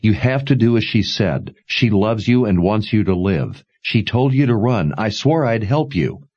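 A man voicing a steady young man who firmly presses a grieving woman to flee. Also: fairly low pitch, unhurried, lo-fi sound, voice pushed up. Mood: urgent. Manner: firm, pressing, earnest.

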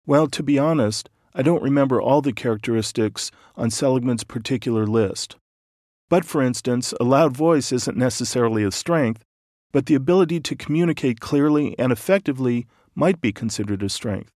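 Calm male audiobook narrator. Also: mid-range voice, no echo, clean studio sound. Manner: calm, measured, explanatory.

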